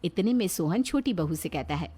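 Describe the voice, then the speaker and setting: A woman's voice: fairly low pitch, animated, moderate pace, dark tone, husky. A woman storyteller reading a scripted moral tale as narration.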